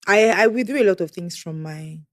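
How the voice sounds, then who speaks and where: A young woman, speaking candidly and softly as an interview guest, her voice often trailing off quietly. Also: fairly low pitch, animated, a little fast, dry recording, studio quality. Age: young adult. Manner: soft-spoken, trails off quietly, laughs between lines. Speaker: guest sharing testimony.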